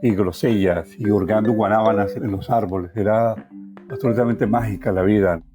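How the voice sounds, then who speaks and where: An older Colombian man telling personal stories in a reflective, expressive way as an interview guest. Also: fairly low pitch, normal speed, music in the background. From Valle del Cauca, Colombia. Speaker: journalist, podcast guest. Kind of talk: personal storytelling.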